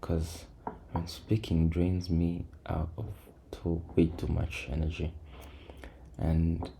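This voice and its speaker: A man with a low, creaky voice gives casual, hesitant, low-energy commentary as he works through a trading screen, repeating words as he thinks aloud. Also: monotone, slow pace. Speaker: trader recording a backtesting session. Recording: noisy room, keyboard and typing sounds.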